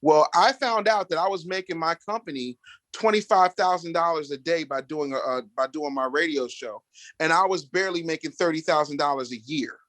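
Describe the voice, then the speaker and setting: A man guesting on a podcast, talking in a loud, booming, blunt and profane way, sometimes slipping into mocking voices. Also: fairly quick, no room sound. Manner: slips into mocking put-on voices. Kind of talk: profane casual chat.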